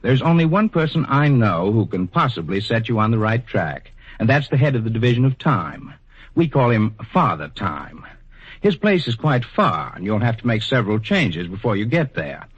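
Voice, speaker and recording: A low husky superintendent type, patient, officious, swoopy intonation, muffled old radio drama. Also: male voice, sing-song. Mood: patient, official. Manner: measured, officious, hesitant. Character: patient, kindly, bureaucratic male superintendent of the afterlife. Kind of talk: 1940s radio acting.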